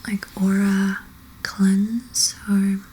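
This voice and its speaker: Whispering, breathy woman doing slow ASMR. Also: mid-range voice, flat delivery, poor audio. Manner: gentle, hesitant, unhurried. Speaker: ASMR host, energy healer. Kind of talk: whispered ASMR, relaxing guided talk.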